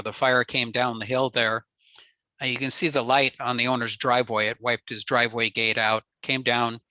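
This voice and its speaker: A man giving a relaxed technical slide talk on a radio network, explaining with frequent ums and uhs. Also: medium pitch, no echo. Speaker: ham radio presenter.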